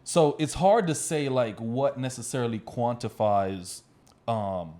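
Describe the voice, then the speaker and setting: A man's voice: mid-range voice, a little slow, mellow. An American man co-hosting a casual music discussion, explaining his views and often checking that he is being followed. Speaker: podcast co-host.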